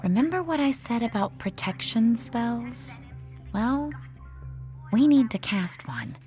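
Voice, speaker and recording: A woman's voice: on the high side, very animated, slow pace, a little mellow, faint music behind. An actress in a radio drama playing an intense, superstitious young woman who talks urgently and conspiratorially. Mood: earnest, conspiratorial.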